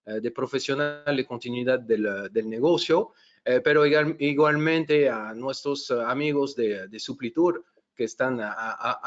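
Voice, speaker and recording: A middle-aged man from France with a French accent, presenting a webinar in a halting way with many fillers and restarts. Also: medium pitch. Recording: dull lo-fi webinar audio.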